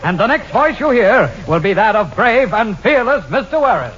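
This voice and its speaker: A melodramatic, earnest field reporter in a 1940s radio parody. The voice is high and swings widely, on a muffled recording with clear hiss. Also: male voice, big pitch swings, normal speed. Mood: dramatic, earnest.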